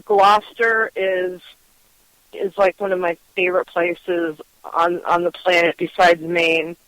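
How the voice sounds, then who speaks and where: A woman's voice: on the low side, level tone, measured pace, fairly bright tone, dry recording, low-quality recording. A woman from Western Massachusetts hosting a casual interview, enthusiastic and rambling, laughing often. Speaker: podcast host.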